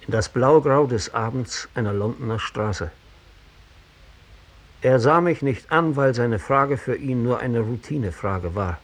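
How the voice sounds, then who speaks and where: An actor playing a sensitive, disgraced gentleman in a 1960s German radio play, confessing in measured, literary phrases with a husky voice. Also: mid-range voice.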